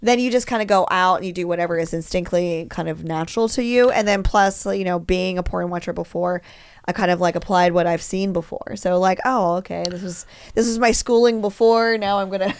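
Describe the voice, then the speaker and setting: A woman's voice: lowish voice, brisk, slight background noise. A woman talking casually as a podcast guest, frank and uninhibited, in very informal phrasing. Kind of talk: casual chat.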